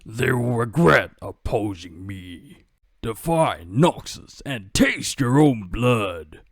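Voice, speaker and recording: A man's voice: medium pitch, lively intonation, slow, vocal fry, dry recording, clean studio sound, full character voice. A man voicing a stern, proud game warlord with a severe, commanding manner. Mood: stern, threatening. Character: stern proud warlord who scorns cowardice.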